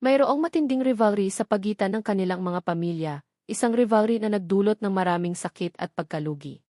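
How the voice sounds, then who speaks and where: A Filipino woman narrates a dramatic love story from a script. Her voice sits at a middle pitch. The sound is dry and close, with little room around it. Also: fairly quick, mellow, no echo.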